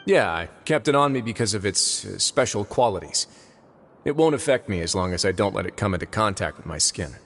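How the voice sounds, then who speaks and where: An actor playing a composed, shrewd male prison warden in a video game, speaking in a measured, dryly amused way. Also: on the low side, lively intonation, fairly bright tone, a little hiss or hum.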